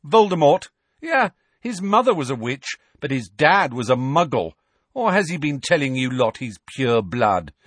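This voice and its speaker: A man voicing a reckless teenage boy wizard, talking fast and defiantly to buy time. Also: medium pitch, very animated, breathy edge, no echo, pitched above the performer's own voice. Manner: brash, talking fast to stall. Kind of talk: audiobook character voice.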